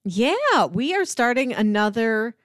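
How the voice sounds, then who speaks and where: A woman's voice: fairly high pitch, sing-song, measured pace, dry recording, clean studio sound. A woman co-hosting a film and television podcast, talking in a casual, conversational style. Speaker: podcast co-host.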